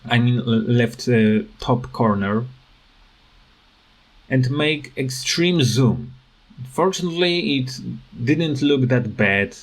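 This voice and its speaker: A man delivers a casual, complaining commentary over game footage. His pace is slow and his pitch sits in an ordinary middle range. The phrasing is halting and non-native, as he searches for how to put each point. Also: animated, rough recording. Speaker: gaming video creator.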